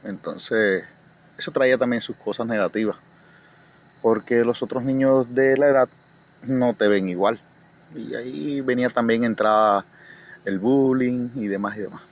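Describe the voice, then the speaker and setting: A Cuban man speaks in an average-pitched voice, recalling personal memories at a reflective, halting gait. He sounds like a guest in a casual chat. The recording is muffled and lo-fi, with light noise and insects behind him. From Cuba. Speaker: guest reader. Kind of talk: personal recollection in casual conversation. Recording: dull lo-fi audio, faint noise, insects.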